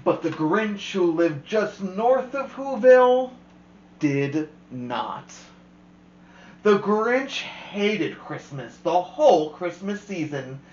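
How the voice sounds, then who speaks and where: A man hosting a storytime, reading a rhyming picture book aloud to a child in a slow, expressive way, stretching out the rhymes. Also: fairly high pitch, a little hiss or hum. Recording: roomy, slightly dull home recording.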